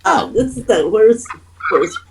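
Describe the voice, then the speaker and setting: Woman, high pitch, animated intonation, casual, halting, thinking aloud, service participant. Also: a little hiss or hum. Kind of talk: casual spoken comment.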